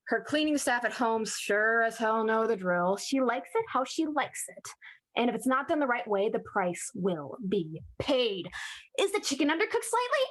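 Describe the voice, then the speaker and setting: A woman's voice: fairly high pitch, big pitch swings, moderate pace, poor audio. A woman playing a breezy, drily amused demon in a theatrical comic monologue, with casual, wry delivery. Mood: drily mocking.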